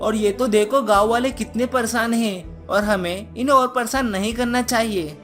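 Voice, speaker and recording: A woman's voice: on the high side, moderate pace, natural voice. A woman voicing a kind-hearted young ghost boy who pleads earnestly in a cartoon story. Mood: earnest pleading. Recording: music behind the voice.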